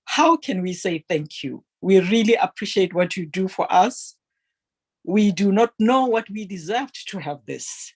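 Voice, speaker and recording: A woman's voice: medium pitch, animated, unhurried, husky. A woman giving a heartfelt testimonial to an online meeting, speaking in an earnest, emphatic and fervent way.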